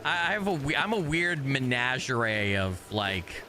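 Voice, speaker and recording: A man's voice: mid-range voice, sing-song, moderate pace. Rambling guy streaming a game. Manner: rambling, hesitant, lots of restarts and "like". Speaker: streamer host. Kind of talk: casual chat while gaming. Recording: noticeable background noise.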